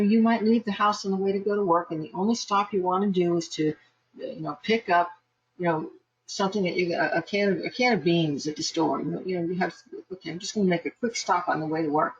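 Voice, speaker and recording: A woman's voice: medium pitch, husky, dull audio. Chatty laughing woman reading charts. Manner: chatty, laughs often, many restarts. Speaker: psychic astrologer giving live readings. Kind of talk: live online chart reading.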